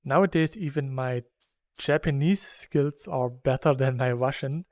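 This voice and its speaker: Slow-talking German man, casual chat. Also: medium pitch, no echo, clean studio sound. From Saxony, Germany. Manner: rambling and chatty, laughs between thoughts. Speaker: gaming YouTuber. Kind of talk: casual chat while playing a game.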